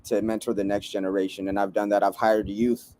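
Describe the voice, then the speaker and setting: A man's voice: on the low side, flat delivery, brisk, a little mellow, a little hiss or hum. A man guesting on a casual podcast, an earnest youth mentor who speaks plainly with slang and laughs easily. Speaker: guest, youth mentor and hip hop artist. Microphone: remote call mic.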